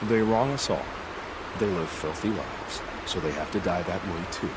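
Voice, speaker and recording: An actor playing a cocky ex-soldier mercenary in a video game, who savors killing for sport in a smug, theatrical way. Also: male voice, low-pitched, moderate pace, heavy background noise, lightly acted. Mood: smug, bloodthirsty. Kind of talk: video game character acting.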